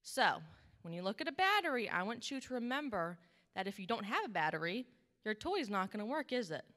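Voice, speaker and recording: A young woman giving a children's message in a church sanctuary, animated and encouraging, asking questions into a handheld microphone. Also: mid-range voice, fairly quick. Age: young adult. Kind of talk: children's sermon, interactive talk. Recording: reverberant church hall, live PA.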